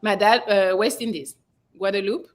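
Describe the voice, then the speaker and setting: A woman's voice: mid-range voice, fairly even intonation, normal speed. Direct young woman from France. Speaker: dating show guest. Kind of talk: live dating show chat.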